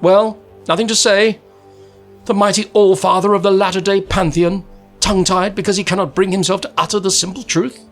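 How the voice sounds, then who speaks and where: A man puts on a defiant old alien traveller for an audiobook reading, lifting his voice well above his own to a sharp, theatrical height. The figure needles and probes with mocking questions. Faint music sits behind the reading. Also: high-pitched, level tone, fairly quick.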